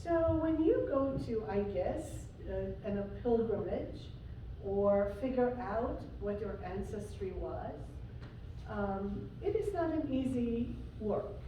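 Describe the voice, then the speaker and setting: A woman from Syria, a guest preacher, telling a personal story in a sermon with slow pauses and fillers. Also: medium pitch, animated, highs cut off, a little hiss or hum. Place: church sanctuary. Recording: echoey church from far off.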